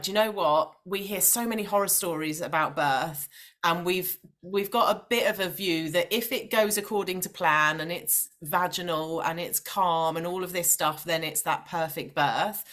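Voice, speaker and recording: Casting: female coach as podcast guest, slightly low voice, conversational personal storytelling. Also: a bit bright. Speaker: podcast guest, maternal mental health coach. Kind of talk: personal storytelling in casual interview.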